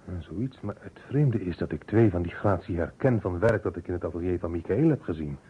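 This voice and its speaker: Low-voiced journalist, dull old recording. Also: male voice, animated, brisk, husky, heavily acted. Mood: uneasy, observant. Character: male journalist assisting an investigation, observant, uneasy. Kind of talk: Dutch radio play acting. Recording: dull old radio recording, faint noise.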